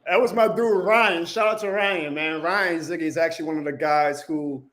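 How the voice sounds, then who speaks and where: A man's voice: a little high, normal speed. A man hosting a casual fan livestream, loose and slangy, who says he is losing his voice. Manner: animated, loose and slangy, shouts out callers. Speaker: host of a fan livestream show.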